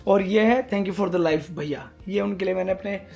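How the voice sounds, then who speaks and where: A man's voice: high voice, normal speed, creaky, a little mellow, faint music behind. A man in his thirties chatting casually with his wife on their vlog, playful and talkative. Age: 30s. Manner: chatty, playful, mixes English phrases. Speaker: vlogger. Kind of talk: casual couple vlog chat.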